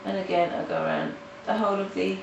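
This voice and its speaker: A woman's voice: medium pitch, flat delivery, vocal fry, dull audio. Nasal woman talking through a coloring tutorial. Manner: halting, with restarts and fillers. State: nasal from hay fever. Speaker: art tutorial host. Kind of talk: casual step-by-step tutorial narration. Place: home in the countryside. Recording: roomy, mic at a distance, faint noise.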